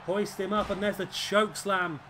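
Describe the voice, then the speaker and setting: A man with a raspy, fading voice, commentating on a wrestling video game as the show's host. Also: high voice, fairly even intonation, unhurried. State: hoarse, voice fading. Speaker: host and commentator of a gaming series. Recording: clearly noisy background.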